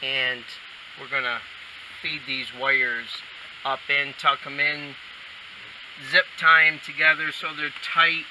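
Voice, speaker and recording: A man's voice: mid-range voice, level tone, slow, long pauses. Distant-sounding man giving a hands-on tutorial. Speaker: installer demonstrating a how-to. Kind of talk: casual hands-on tutorial talk. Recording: distant mic, loud hiss and vehicle noise.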